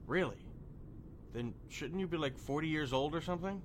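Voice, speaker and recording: An actor playing an excitable, apologetic young friend in an audio drama, questioning and hesitant in manner. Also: male voice, fairly high pitch, moderate pace, slight background noise, lightly acted. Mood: curious. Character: jittery, apologetic young friend named Tavy, easily amazed. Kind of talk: immersive audio drama roleplay acting.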